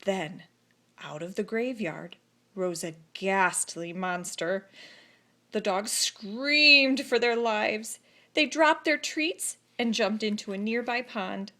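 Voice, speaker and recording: A woman narrating a children's story expressively, with deliberate phrasing and dramatic pauses. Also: mid-range voice, sharp tone. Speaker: storybook reader.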